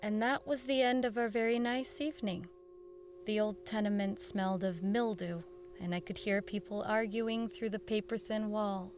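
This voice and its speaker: A woman's voice: mid-range voice, steady tone, moderate pace, darkish tone, faint music behind, lightly acted. An actress playing an earnest, nervous woman who works in network radio, in radio drama dialogue and narration. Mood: reflective.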